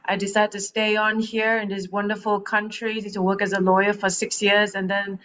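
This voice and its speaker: A woman in her thirties from Malaysia, a coach telling her story in a casual podcast chat, animated and laughing often. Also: medium pitch, moderate pace, slight background noise. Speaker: guest, transformational coach. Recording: muffled lo-fi sound.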